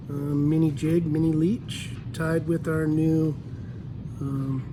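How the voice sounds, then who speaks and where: Easygoing man tying flies, noisy room. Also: a little high. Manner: easygoing, talks while working, frequent ums. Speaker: fly tying instructor. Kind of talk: relaxed step-by-step tutorial.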